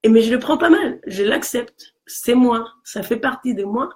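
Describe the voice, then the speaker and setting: A woman from Mexico with a Mexican Spanish accent and a low voice, talking earnestly in a casual conversation. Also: animated, normal speed. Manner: earnest, encouraging, with frequent restarts.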